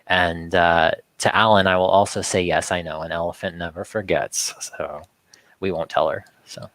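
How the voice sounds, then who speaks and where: Male talk show guest, low register, dry sound, halting conversational delivery with repeats. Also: steady tone, a bit bright, no echo. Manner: halting, repeats words and restarts sentences. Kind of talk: casual rambling chat.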